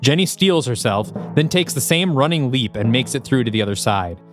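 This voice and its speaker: Male narrator for action audio drama: medium pitch, vivid scripted storytelling, faint music bed. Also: expressive, a little fast.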